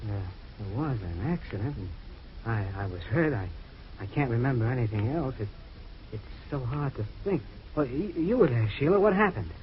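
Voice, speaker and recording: Man acting a haunted husband with wildly swinging pitch. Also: animated, close to an everyday voice. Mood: confused, anguished. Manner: anguished, halting, melodramatic. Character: haunted, confused amnesiac husband, desperate man. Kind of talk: old-time radio drama acting. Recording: dull, noisy old radio recording.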